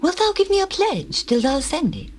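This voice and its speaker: Bold high-voiced biblical widow. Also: female voice, wildly swooping pitch, normal speed, exaggerated voice. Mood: coy bargaining. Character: Tamar, bold, shrewd young biblical widow. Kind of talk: scripture dramatization, theatrical acting. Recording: hissy old cassette tape, birdsong behind.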